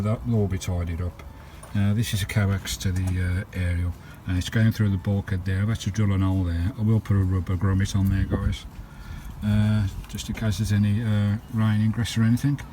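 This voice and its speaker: Low-voiced, chatty English man, slightly noisy recording. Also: flat delivery, brisk, dark tone. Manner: chatty, colloquial, matey address to viewers. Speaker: vlogger showing a campervan radio installation. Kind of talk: casual hands-on vlog talk to viewers. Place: in and around a parked campervan. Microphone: handheld camera microphone.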